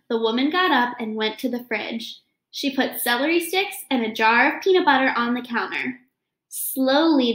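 A young woman reading a children's picture book aloud, pausing to address young listeners with questions and comments. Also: high voice, a bit bright, echoey room. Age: young adult. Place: small home room, indoors.

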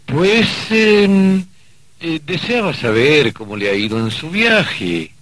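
A man's voice: on the high side, big pitch swings, takes it slowly, a bit bright, dry recording, full character voice. An actor playing a wry, condescending, bossy male archangel in an old radio drama. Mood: knowing.

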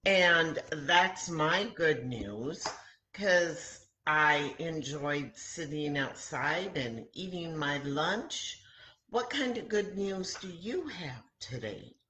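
Older woman, 60s, children's storyteller; low register, deliberate and pausing, roomy home webcam sound. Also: animated, bright, forceful tone, slight background noise. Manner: simple, deliberate phrasing with frequent pauses. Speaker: children's story time host at a church. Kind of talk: storytelling to children, reading aloud. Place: home kitchen, indoors.

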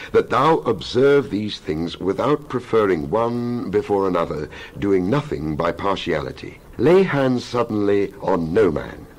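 A man with a low, rough-edged voice performs a dramatic reading of scripture. His delivery is solemn and oratorical, with emphatic phrasing and wide swings in pitch. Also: poor audio. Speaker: Bible reader.

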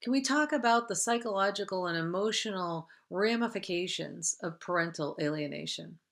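A middle-aged woman hosting in a measured, formal way, as if presenting from a script. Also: on the low side, creaky, a bit bright. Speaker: host, counsellor.

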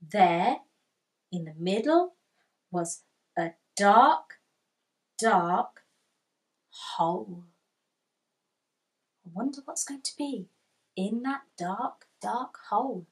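Slow, expressive woman storyteller for children. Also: mid-range voice, low-quality recording. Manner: expressive, deliberate, asks listeners questions. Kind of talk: read-aloud picture book narration. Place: small furnished room indoors with bookshelves.

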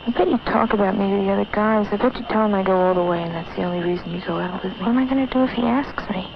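A nervous teenage girl's thoughts voiced by a young woman, murmured in hushed, self-doubting half-whispers with a gravelly edge. The sound is a dull old film soundtrack with clear hiss underneath. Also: medium pitch, very fast, close to an everyday voice. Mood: worried. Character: anxious teenage girl on a date. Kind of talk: inner-monologue voice-over.

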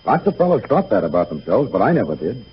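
An actor playing a young dead soldier son in a 1930s radio drama, breezy and gentle, speaking in a boyish, coaxing, informal way. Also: male voice, on the low side, sing-song, fast talker. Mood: wistful, matter-of-fact. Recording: muffled, noisy 1938 radio broadcast.

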